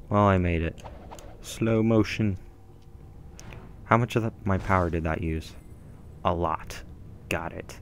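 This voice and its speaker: Young male let's-player, deep, chatty and meandering, headset mic at home. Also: slow, long pauses, a little hiss or hum. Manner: rambling, filler-heavy, self-deprecating. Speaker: gameplay commentator. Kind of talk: casual gameplay commentary. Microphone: gaming headset mic.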